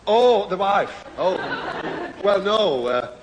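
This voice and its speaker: An actor playing a blunt, grumbling, middle-aged working-class husband with a Northern English accent in a 1970s radio sitcom. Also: male voice, high voice, big pitch swings, takes it slowly, exaggerated voice. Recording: echoey old radio hall, faint hiss.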